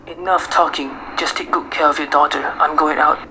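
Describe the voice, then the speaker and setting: A man's voice: fairly high pitch, fairly even intonation, a little fast, sharp tone. Man acting an irritable husband, noisy recording. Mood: irritated. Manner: scolding, impatient. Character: irritable, desperate husband with a harsh temper. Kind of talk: amateur radio drama acting. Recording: noisy home-made recording.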